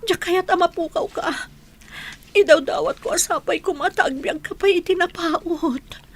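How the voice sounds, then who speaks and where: A woman's voice: very high voice, measured pace. A woman playing a worried, devoted wife in an Ilocano radio drama, pleading and fretful. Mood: grieving, pleading.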